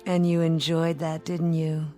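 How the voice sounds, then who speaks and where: Low-voiced, suave devilish dealmaker. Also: female voice, completely flat delivery, warm tone, faint music behind. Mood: teasing. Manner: precise, formal, teasing, deliberate. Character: suave female devilish dealmaker, coolly knowing and wry. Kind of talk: radio drama acting.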